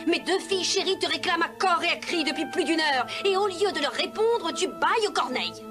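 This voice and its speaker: A high-pitched, imperious stepmother from a fairy-tale cartoon. She is haughty and cruel, every phrase an order from above. Music plays clearly under her voice. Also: animated, brisk. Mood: stern scolding. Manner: scolding, imperious. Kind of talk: dubbed cartoon acting.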